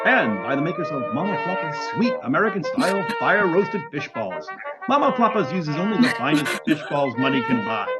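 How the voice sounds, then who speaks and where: A man's voice: a little high, muffled recording. A man reading sponsor spots as an old-time radio announcer, with mock-earnest salesmanship. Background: loud music.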